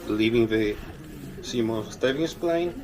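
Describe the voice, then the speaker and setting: A man giving a casual walking tour narration as a travel vlogger, speaking in a halting, hesitant way. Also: mid-range voice. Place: outdoors on a busy city street market. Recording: outdoor recording amid loud crowd noise. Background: loud crowd and street ambience.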